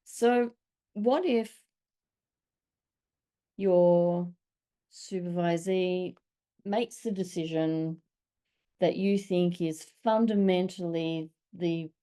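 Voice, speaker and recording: A woman's voice: lowish voice, steady tone, slow, pauses often, mellow. A woman facilitating a group discussion, asking probing questions and giving brief affirmations.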